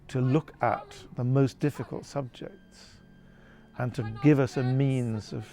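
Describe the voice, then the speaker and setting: A man gives reflective interview commentary in a mid-range voice with plenty of creak. He pauses and searches for words as he thinks aloud. Music plays behind him. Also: medium pitch, darkish tone. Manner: thoughtful, halting, searching for words.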